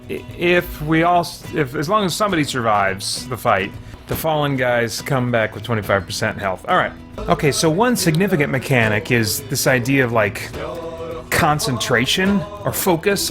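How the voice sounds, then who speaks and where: A man's voice: medium pitch, fairly bright tone. A man reviewing a video game, giving casual, conversational commentary and thinking aloud as he plays. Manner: conversational, thinking aloud, mild slangy exclamations.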